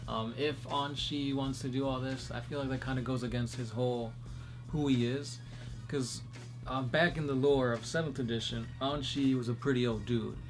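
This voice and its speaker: A man co-hosting a casual chat, answering fan questions in a relaxed, chatty way. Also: medium pitch, normal speed, soft background music. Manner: relaxed, chatty, many fillers. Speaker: podcast co-host.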